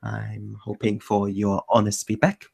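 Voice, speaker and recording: A low-pitched man hosts an online language meetup, and his voice carries a gravelly fry. He chats loosely, often breaking off and restarting his sentences. It sounds like a computer mic on a call. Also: completely flat delivery, measured pace, a little mellow. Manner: loose, self-correcting, many restarts. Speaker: meetup host. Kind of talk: casual chat.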